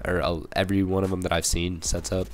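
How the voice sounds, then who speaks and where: A young man with a cold, hoarse and a little raspy, rambling through casual gameplay commentary with many fillers and restarts. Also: sits low, level tone, quick pace, a little hiss or hum. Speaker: gaming channel commentator.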